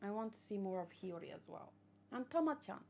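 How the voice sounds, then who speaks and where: A woman's voice: mid-range voice, big pitch swings, a little fast, creaky, mellow. A woman hosting a casual manga read-along, chatting in a rambling way with lots of fillers and restarts. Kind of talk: casual chat and reading aloud.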